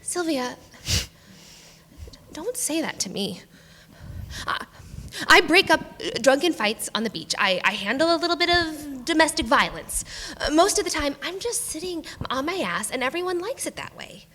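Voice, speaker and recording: In a reverberant hall, a frazzled small-island sheriff on stage. The voice is fairly high, bright and tense, with halting, stammering, exasperated delivery. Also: female voice, big pitch swings, a little hiss or hum, full character voice. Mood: exasperated, self-pitying. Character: flustered, weary, foul-mouthed small-island female sheriff. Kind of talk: live staged play reading. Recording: echoey theatre hall, live stage.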